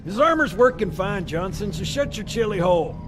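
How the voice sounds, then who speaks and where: High-voiced, crusty American master gunner. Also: male voice, expressive, fairly quick, music in the background. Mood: brisk instruction. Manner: gruff, fussy, barking. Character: crusty, grumpy military armory master gunner. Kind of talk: video game dialogue acting.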